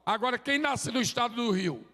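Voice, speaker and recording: Man, 40s, high-pitched and playful in the pulpit, heavy hall reverb. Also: expressive, a little fast, sharp tone. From Sergipe, Brazil. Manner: joking, chatty, drops "amém?" tags. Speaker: visiting preacher. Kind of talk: informal sermon with crowd call-and-response. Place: church sanctuary.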